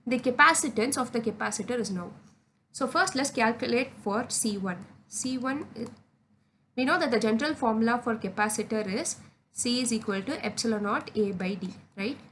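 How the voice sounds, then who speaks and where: A woman's voice: fairly high pitch, normal speed, a bit bright. Woman tutor teaching over background noise. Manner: methodical, spelling out formulas aloud in short phrases. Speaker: physics tutor. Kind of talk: step-by-step exam solution lesson.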